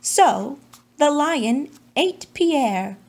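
Female storybook reader: highish voice, unhurried pace, children's read-aloud narration. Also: expressive, darkish tone, slight background noise.

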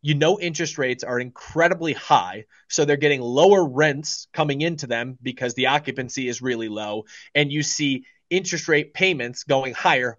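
A man's voice: mid-range voice, fairly bright tone, dry recording. Confident male guest in his 30s, emphatic, dry and slightly dull call audio. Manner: confident, emphatic, repeats words for stress. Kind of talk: casual investing talk. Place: small studio with branded backdrop, indoors. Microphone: studio mic on boom arm, video call.

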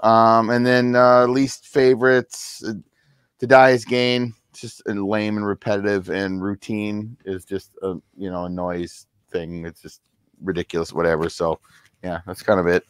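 A middle-aged man with a fairly low, very clear voice reviews music casually. His delivery is offhand and understated, recorded dry in a home room. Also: flat delivery, moderate pace, no echo. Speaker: album review panelist.

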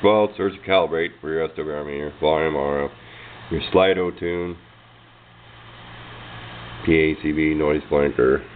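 A man's voice: a bass voice, steady tone, a little slow, long pauses. A man giving a casual, hands-on explanation as a hobbyist, rambling along in folksy, informal speech on noisy, muffled amateur camera audio. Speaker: hobbyist presenter of radio demonstration.

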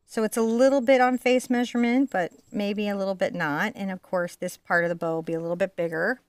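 A woman's voice: mid-range voice, steady tone, quick pace, no echo. A middle-aged woman chatting informally and digressively as she teaches painting in an indoor studio. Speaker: host teaching a live painting class. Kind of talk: casual chat and painting demonstration.

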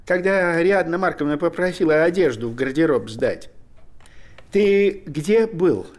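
A man's voice: on the high side, a little slow, natural voice. An actor in his sixties playing a fussy old man in a screen drama, questioning in a hesitant, stammering way. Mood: suspicious questioning. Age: older, 60s. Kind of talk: naturalistic screen drama acting. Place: wood-furnished office indoors.

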